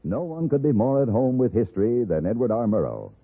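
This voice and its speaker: A man's voice: medium pitch, big pitch swings, fairly quick, husky. A man with an American accent reading a script as a 1950s radio announcer, polished and emphatic. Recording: tinny old radio broadcast, faint music.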